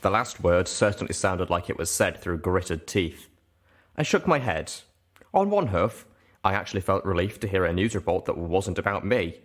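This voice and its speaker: Male audiobook narrator, lower-pitched, delivering scripted first-person fiction. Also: animated, moderate pace.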